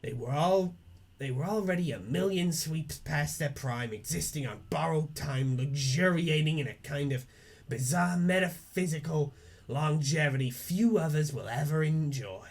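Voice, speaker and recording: A man's voice: on the high side, normal speed. A man playing a defiant young woman in a theatrical, earnest, foul-mouthed monologue. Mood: grim, defiant. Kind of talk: dramatic reading of a webcomic script.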